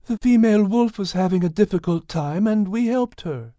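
A woman playing a gentle, excited young wife in an audiobook Bible story. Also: medium pitch, moderate pace, no room sound, studio quality, lightly acted. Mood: earnest, excited. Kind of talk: audiobook acting.

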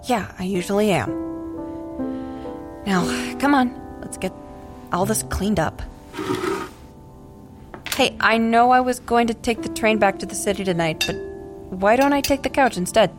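A woman's voice: medium pitch, a little slow, long pauses, natural voice. Casting: actress as supportive, persistent young American woman, the straight-talking best friend, middle register, natural audio drama, faint music. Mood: light, teasing. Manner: casual, frank, supportive.

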